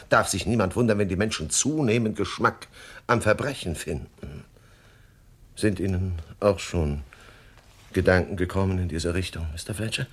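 Radio play boss: jovial wheeler-dealer, low husky voice, animated, effusive and insinuating. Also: male voice. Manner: effusive, wheedling, repeats words. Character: jovial, glib, insinuating male employment agency boss. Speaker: employment agency boss. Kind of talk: 1970s German radio play acting.

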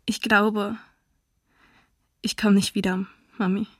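A woman's voice: medium pitch, takes it slowly, husky, no echo, studio quality. A woman playing a humiliated young forced labourer writing to her mother, restrained, in a radio docudrama. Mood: subdued, wounded. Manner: restrained, plain, even. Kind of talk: radio docudrama letter reading.

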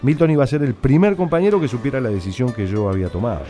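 An actor playing a pensive, guarded Argentine factory militant, telling his story in a measured, blunt way in a radio drama. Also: mid-range voice, over music, lightly acted. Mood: reflective. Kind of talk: dramatic first-person radio narration.

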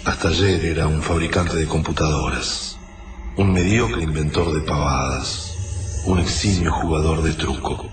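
A man's voice: a bass voice, a little slow. An actor playing a grieving man turned militia leader, in a sombre Argentine radio drama monologue. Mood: sombre, reflective. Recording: echoey, distant, music underneath.

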